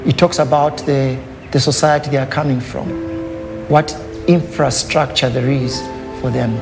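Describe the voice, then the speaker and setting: A middle-aged man speaks in a documentary interview, a Lugala speaker using English, with a gravelly, rough edge to his mid-range voice. He talks thoughtfully, pausing and reaching for words. Loud music runs clearly beneath him. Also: medium pitch, steady tone. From Zambia. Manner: thoughtful, measured, with pauses and fillers. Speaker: artist.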